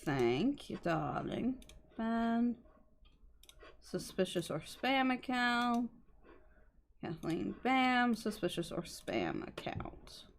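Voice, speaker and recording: A woman's voice: on the high side, sing-song, very slow, pauses often, slight background noise. A woman livestreamer chatting casually with her co-host and viewers, explaining things politely. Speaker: game livestreamer. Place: indoor streaming setup at a computer.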